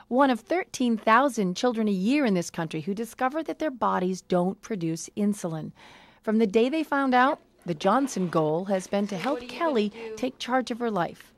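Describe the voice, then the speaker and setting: A woman's voice: mid-range voice, darkish tone. American newswoman narrating over music. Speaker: TV news reporter. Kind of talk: scripted news feature narration.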